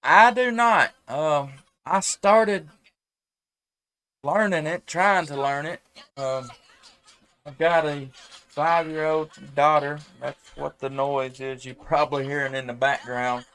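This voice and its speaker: A man's voice: fairly high pitch, steady tone, slow pace, fairly bright tone, clear, resonant tone. A man, chatting casually and rambling as a ham radio operator on a headset microphone. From United States. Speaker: amateur radio operator and live stream host. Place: at home indoors.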